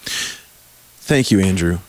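A male podcast host talks in a loose, easygoing way, often restarting his sentences. His voice is hoarse and nearly gone, which leaves it sitting a little low. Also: lively intonation, extremely slow, slight background noise, low-quality recording. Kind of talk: casual podcast chat.

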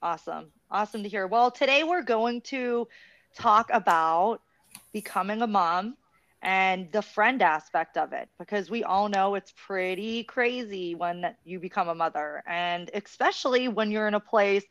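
A woman's voice: medium pitch, a bit bright, no echo. A woman hosting a casual podcast interview, telling her own story in a chatty way with plenty of "like.". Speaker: podcast host interviewing guests. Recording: dull dry lo-fi sound.

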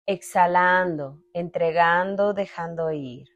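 A woman narrating a guided meditation in a calm, instructional manner with long pauses between short phrases. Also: fairly low pitch, highs cut off. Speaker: meditation guide, podcast host.

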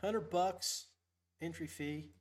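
A man with a slightly high voice chats as the host of a fishing livestream. His delivery is folksy and upbeat, wandering from one thought to the next as he goes. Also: takes it slowly, loud music behind the voice. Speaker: podcast host. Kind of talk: casual livestream fishing chat.

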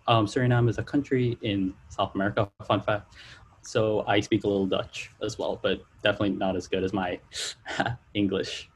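A young man born in Trinidad, a student guest talking earnestly on a video call from home, often restarting his sentences. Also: fairly low pitch. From Trinidad and Tobago. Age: young adult. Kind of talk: online panel Q&A.

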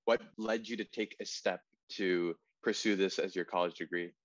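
A man's voice: deep voice, steady tone, moderate pace, a little hiss or hum. A man interviewing a guest in a friendly, upbeat way. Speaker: podcast interviewer. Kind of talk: interview questions. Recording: dull lo-fi sound.

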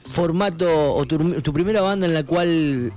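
A man's voice: fairly high pitch, normal speed, a little mellow. A man from Argentina, hosting a radio interview, chatty and prone to digressions. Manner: chatty, digressive, many backchannels. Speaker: radio host.

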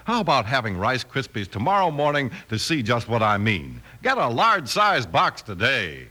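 A man announcing in polished, emphatic 1950s radio style, as in a sponsor pitch or story narration. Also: wildly swooping pitch, normal speed, bright, forceful tone, a little hiss or hum.

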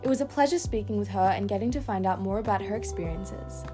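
A woman's voice: mid-range voice, fast, over music. A teenage girl hosting a podcast, asking questions in a chatty way full of fillers and hedges. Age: teens. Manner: chatty, hedging with many fillers. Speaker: podcast host. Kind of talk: podcast interviewing.

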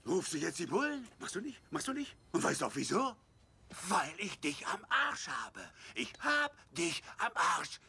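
A man playing an unhinged, menacing landlord who rants and shouts aggressively about money in a dubbed TV drama. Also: high-pitched, huge pitch swings, measured pace, creaky, husky, heavily acted. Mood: menacing taunts.

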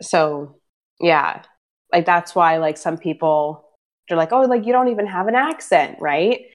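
A woman in her thirties with a light Brazilian Portuguese accent, talking casually as an interviewee, full of "like" fillers and quick to laugh. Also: fairly low pitch. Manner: chatty, many "like" fillers, laughs easily.

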